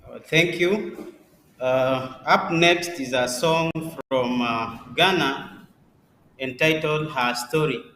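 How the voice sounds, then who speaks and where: Slow, bright-toned Kenyan man moderating. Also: mid-range voice, a little hiss or hum. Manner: unhurried, with frequent "uh" pauses. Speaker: summit moderator. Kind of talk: introducing program items. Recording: echoey room.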